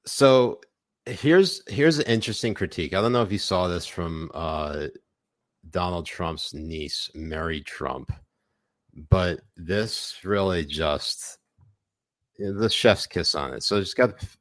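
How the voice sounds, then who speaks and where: A low-pitched man trades sarcastic, mock-serious political banter as a podcast co-host. The sound is dry and close, with no room echo behind him. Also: slow.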